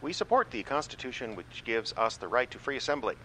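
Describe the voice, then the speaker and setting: Flustered, bright-voiced radio station manager. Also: male voice, medium pitch, very animated, brisk, a little hiss or hum, rough recording. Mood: officious, exasperated. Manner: fussy, stammering, officious. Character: flustered, exasperated male small-town radio station manager. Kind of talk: comedic radio play acting.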